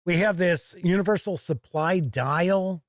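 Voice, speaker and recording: A man hosting a game review to camera, presenting in a deliberate, emphatic way from an indoor studio. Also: high voice, no echo. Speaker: host and board game reviewer.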